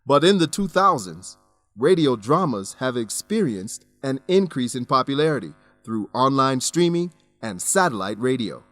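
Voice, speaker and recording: A man narrating a scripted English lesson, enunciating deliberately as he explains words and grammar. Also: mid-range voice, big pitch swings, a bit bright, dry recording, faint music behind.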